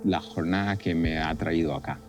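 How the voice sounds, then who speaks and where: A middle-aged man with a Costa Rican accent and a fairly low voice, speaking as a river guide in a documentary voice-over. His delivery is relaxed and reflective, with casual fillers here and there. Music plays clearly underneath him. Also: fairly quick, dull audio. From Costa Rica.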